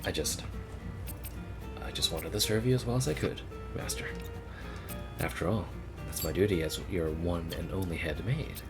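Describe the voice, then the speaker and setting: A man voicing a devoted female android head maid in a game, speaking softly and formally. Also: deep voice, moderate pace, long pauses, over music. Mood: gently earnest. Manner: formal, deferential, soft.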